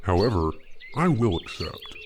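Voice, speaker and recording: Casting: man as self-important village chief, grandiose and theatrical, big swings in pitch, noisy recording. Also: very animated, a little slow. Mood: pompous. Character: pompous, self-declared village chief hunting his rival. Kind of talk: scripted radio-play comedy acting.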